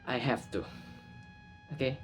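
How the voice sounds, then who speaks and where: A man with an Indonesian accent and Indonesian as his first language, talking to camera in a casual vlog, halting and often correcting himself mid-sentence. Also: medium pitch, very noisy. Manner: halting, frequent restarts and self-corrections. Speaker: YouTuber.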